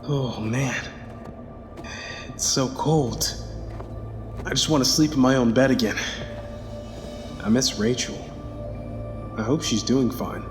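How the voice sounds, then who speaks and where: A man acts out a bitter, anxious crewman in a sci-fi audio drama. He swears and mutters to himself in a medium-pitched voice. It rings with long hall reverb, and music plays clearly behind him. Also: fairly even intonation, slow, pauses often, lightly acted. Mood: anxious, weary. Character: anxious, bitter, foul-mouthed male spacecraft crew member.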